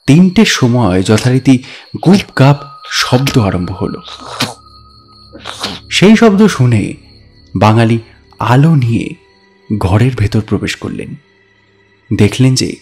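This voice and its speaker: A man tells a story as a dramatic narrator, deliberate and expressive. His pitch sits in the middle range, and music plays behind him. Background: background music.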